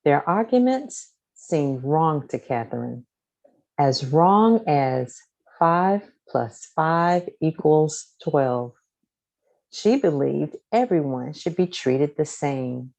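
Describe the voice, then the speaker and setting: Low, mellow woman reading a storybook. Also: animated, slow pace, gravelly. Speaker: storybook reader. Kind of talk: scripted children's story read-aloud.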